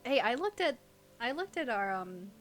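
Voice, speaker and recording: A woman's voice: a little high, big pitch swings, normal speed, fairly bright tone. A young woman in casual conversation as a podcast co-host, informal and teasing, reading creepy stories aloud. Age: young adult.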